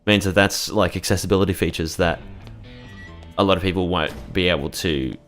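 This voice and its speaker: A man chats loosely through a live game stream, stopping and restarting his thoughts as he goes. His voice sits very low. Faint game music plays behind him. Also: a little fast, a bit bright. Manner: rambling, with many fillers and restarts. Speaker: gaming streamer. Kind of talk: casual live-stream commentary over gameplay.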